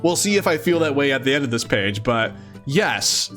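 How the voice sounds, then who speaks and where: A man's voice: medium pitch, very animated, moderate pace, a bit bright, soft background music. A man reading aloud and commenting casually, with an amused, exclaiming, playfully sarcastic manner. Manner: amused, exclaiming, often chuckling. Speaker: host of a let's-read series.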